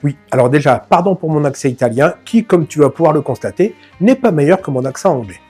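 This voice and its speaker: A man's voice: fairly high pitch, expressive, fast talker, dark tone, slightly rough, soft background music. A man hosting a film channel, talking through a classic film in a casual, informal way, joking at his own expense. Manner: chatty, addresses viewer informally, self-mocking asides. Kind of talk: casual film analysis to camera.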